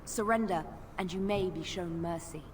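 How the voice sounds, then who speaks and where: A woman's voice: fairly low pitch, moderate pace, a little mellow, close to an everyday voice. A woman playing a stern military officer in a fantasy video game, speaking with formal authority. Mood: stern, controlled. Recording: distant game audio, faint noise.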